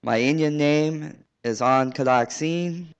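A man's voice: fairly high pitch, clear, resonant tone. Man from southeast Alaska, earnest hearing testimony, resonant voice, dull lo-fi tape. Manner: earnest, halting, with restarts. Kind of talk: public testimony. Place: public hearing room.

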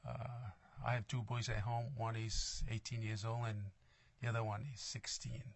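A man in his sixties with a Tongan accent, introducing himself formally at a hearing in a measured, halting, plain-spoken way. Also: on the low side, level tone, vocal fry, no room sound. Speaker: appointee to a parole board.

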